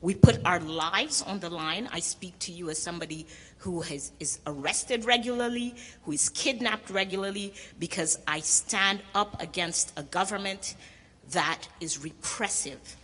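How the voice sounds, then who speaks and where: A very low, husky woman from Cameroon speaks up at a standing mic in the audience. She is defiant and deliberate, and she presses each point. The long reverb of a big hall trails her words. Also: bright, forceful tone. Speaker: audience member asking a question. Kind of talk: impassioned public comment.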